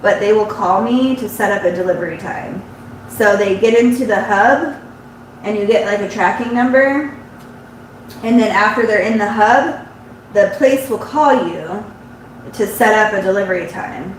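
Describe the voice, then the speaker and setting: Woman at a mid pitch, stuffy-nosed and chatty, live-streaming her sewing. She is recorded off-mic in an echoey room. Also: normal speed, recorded from a distance. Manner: chatty and rambling, with frequent restarts. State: congested, runny nose. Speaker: embroidery shop owner. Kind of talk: casual live-stream chat while sewing. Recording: very echoey room, voice off-mic, audible background hum.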